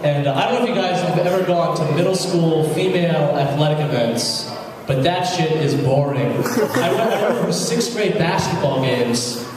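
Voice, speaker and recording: Young man, stand-up comic, crude self-mocking jokes, slightly high voice, echoey hall, distant mic, crowd laughing. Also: normal speed. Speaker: stand-up comedian. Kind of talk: stand-up comedy set. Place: college open mic room with audience. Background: audience laughing.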